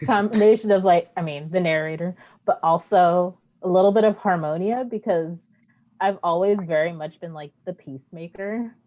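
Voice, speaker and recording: Low-pitched woman in casual chat. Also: normal speed, dark tone, dull audio. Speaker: cast member in a play.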